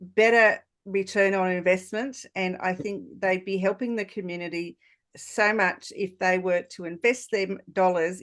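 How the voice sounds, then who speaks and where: A woman's voice: lowish voice, fairly bright tone. Agreeable woman hosting an interview. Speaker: podcast host. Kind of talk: conversational interview.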